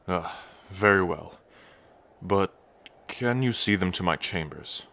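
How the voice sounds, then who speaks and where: A man's voice: deep voice, slow pace, vocal fry, pushed and bright, echoey room, full character voice. A man voicing a brash young male dragon prince, a future king who is cocky, teasing and protective, in an ASMR roleplay. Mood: reassuring.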